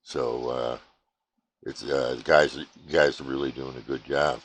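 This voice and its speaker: A man casually chatting about his radio hobby at his home station, relaxed and rambling with many fillers. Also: extremely low pitch, measured pace. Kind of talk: casual chat to camera.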